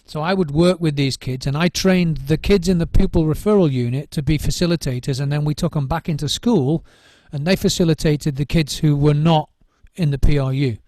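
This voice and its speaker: A middle-aged man talks over his work as a drum trainer in a thoughtful interview, his voice sitting a little high. He circles back and repeats words while he finds his thought. The sound is close and dry, with no room around it. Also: brisk, hardly any pauses, darkish tone, no echo. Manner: searching for words, many restarts and repeated words. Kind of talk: reflective interview answers.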